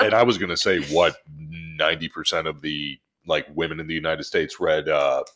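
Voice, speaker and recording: A man's voice: very deep voice, steady tone, moderate pace, fairly bright tone, a little hiss or hum. A man co-hosting a podcast, swapping crude, joking anecdotes in casual slangy talk with plenty of swearing. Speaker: podcast co-host.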